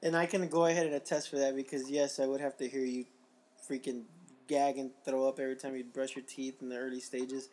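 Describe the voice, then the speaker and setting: A man hosting a casual podcast, his voice a little raspy, joking and reading things aloud. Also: medium pitch, flat delivery, a little fast, a little mellow. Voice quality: slightly raspy. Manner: laughing, joking, reads aloud. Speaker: podcast host.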